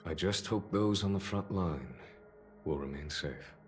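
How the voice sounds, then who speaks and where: An actor playing a noble, composed general in a video game, speaking in a measured, stately and earnest way. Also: male voice, deep voice, faint music behind. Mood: solemn, resolute. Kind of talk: dramatic game character acting.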